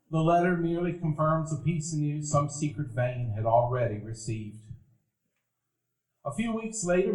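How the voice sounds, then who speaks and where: A man playing a nostalgic grown man recalling boyhood, narrating a radio play in a measured, literary way. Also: a little high, lightly acted. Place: event hall before live dinner-theater audience. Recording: echoey live theater stage.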